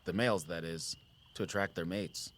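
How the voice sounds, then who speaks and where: Outdoorsman, male, low-pitched, patient, concerned, measured, explanatory, naturalistic audio drama. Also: fairly even intonation, fairly bright tone. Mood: intent, explanatory. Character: Jordan, concerned, patient outdoorsman who watches the woods.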